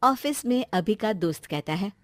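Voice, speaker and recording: A woman's voice: mid-range voice, lively intonation, normal speed, no room sound, studio quality. A woman reading scripted story narration.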